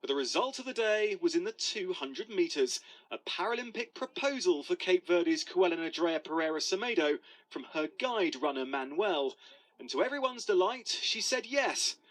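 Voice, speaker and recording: A man delivers a scripted sports report as a TV correspondent. His voice is high, with lively, swooping intonation. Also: normal speed, rough recording. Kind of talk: scripted news report narration.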